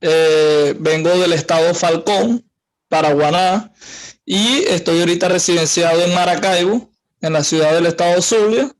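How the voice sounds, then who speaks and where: A man's voice: on the high side, moderate pace. A man from Venezuela politely introducing himself in an online class. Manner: polite, warm greetings. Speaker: student. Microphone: video call.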